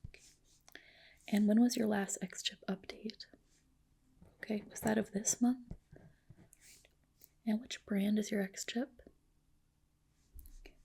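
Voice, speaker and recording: A woman whispering softly in an ASMR roleplay as a clinic doctor, gentle, polite and reassuring, with a breathy delivery. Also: mid-range voice. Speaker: ASMR host roleplaying a dermatologist. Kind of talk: soft whispered ASMR personal-attention roleplay.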